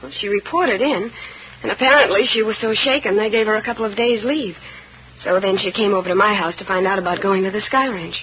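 A woman acting as a gentle, concerned American woman speaks carefully and anxiously at a middling pitch. It is mid-century radio drama, muffled and slightly noisy. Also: fairly quick, lightly acted. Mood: sympathetic. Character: Claudia, concerned, gentle sister confiding bad news. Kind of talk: 1950s radio serial acting. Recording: muffled old radio recording with noise.

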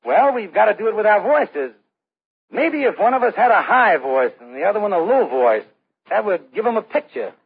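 A tinny vintage radio recording of an eager entertainer. His high, husky voice swings up and down earnestly, with a creaky edge. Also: sing-song, moderate pace, heavily acted. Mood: thoughtful. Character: eager, inventive radio singer turned comedian. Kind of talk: old-time radio drama acting.

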